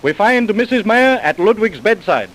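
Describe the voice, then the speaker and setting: A woman's voice: mid-range voice, big pitch swings, moderate pace, low-quality recording. A woman narrating a scripted old-time radio crime drama in a formal story-linking style. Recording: vintage radio recording.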